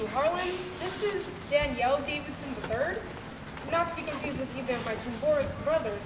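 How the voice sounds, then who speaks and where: A young woman playing an earnest field reporter who rambles formally in a comic radio play. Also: high voice. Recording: echoey, far mic, clear noise.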